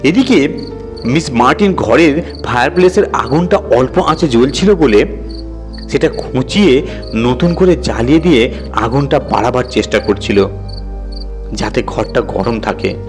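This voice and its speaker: Guy narrating a scary story, mid-pitched voice, music playing behind him. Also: lively intonation, measured pace, pauses often. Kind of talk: scripted dramatic story narration.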